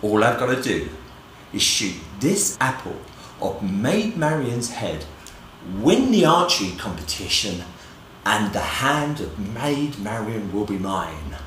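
A man's voice: fairly low pitch, big pitch swings, fairly bright tone, heavily acted. A middle-aged man playing a vain, heroic outlaw archer in a hammy, grandiose pantomime style. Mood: dismissive, boastful. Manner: grandiose, deliberate. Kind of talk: hammy children's pantomime acting. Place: indoor room, virtual background. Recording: echoey room with faint noise.

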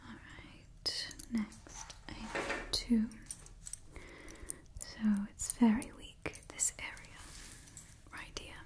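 Whispering young woman, slow and hushed. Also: on the high side, level tone. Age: 20s. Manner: repeats short soothing phrases. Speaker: ASMR roleplay performer. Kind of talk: whispered ASMR roleplay. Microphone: close microphone.

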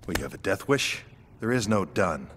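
A hardline space-cop hero in a video game, with a very deep voice. He is blunt and cold, brushing off losses and turning threatening. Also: sing-song, normal speed, fairly bright tone.

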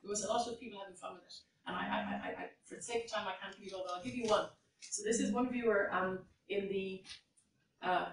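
A woman's voice: mid-range voice, a bit bright, distant mic. A woman giving a conference keynote from a lectern, reading old letters aloud with frequent fillers and restarts. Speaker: keynote speaker. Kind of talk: academic conference lecture. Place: large lecture hall, at a lectern. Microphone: lectern mic, filmed from a distance. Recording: echoey hall recorded from afar.

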